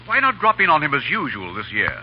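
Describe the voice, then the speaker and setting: An actor playing a senior army general, dry, formal and dignified, in a 1930s radio drama. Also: male voice, a little high, huge pitch swings, quick pace. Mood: considered. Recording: reverberant, dull, hissy 1930s radio recording.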